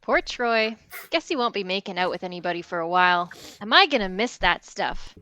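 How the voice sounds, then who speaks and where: A woman's voice: mid-range voice, expressive, a little fast, pushed and bright, no room sound. A woman reading a breezy teenage girl in an amateur script read, with casual, slangy delivery. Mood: wistful, uneasy. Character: breezy, squeamish teenage girl in adventure film. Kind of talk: amateur livestream table read of a film script.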